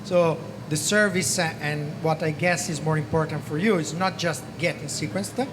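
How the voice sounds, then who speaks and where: A man's voice: on the high side, steady tone, moderate pace. Italian-accented man in a big hall. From Italy. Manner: earnest, repeats himself, frequent "okay?". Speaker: panelist. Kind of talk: conference panel discussion. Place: large conference hall stage. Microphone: handheld microphone. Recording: reverberant hall with background noise.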